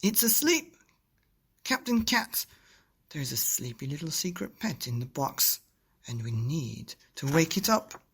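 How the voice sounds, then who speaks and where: A man voicing an excitable, eager little girl in a children's storybook, breathless and emphatic. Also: on the high side, unhurried, breathy edge, full character voice.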